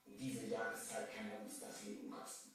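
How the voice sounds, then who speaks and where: An actor playing a grim sea captain with an ominous, dramatic delivery in an old radio play. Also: male voice, on the low side, little pitch movement, fairly quick, crowd noise, close to an everyday voice. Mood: grave warning. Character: grim, doom-laden sailing ship captain. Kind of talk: 1970s German radio play acting. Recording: distant, echoey old record with music.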